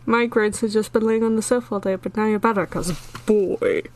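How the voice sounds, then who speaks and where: A woman's voice: medium pitch, steady tone, fairly quick, a little mellow. A woman streamer whose first language is Dutch, chatting casually and rambling with frequent laughter. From Netherlands. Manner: giggly, rambling, laughs often. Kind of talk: casual chat with live chat.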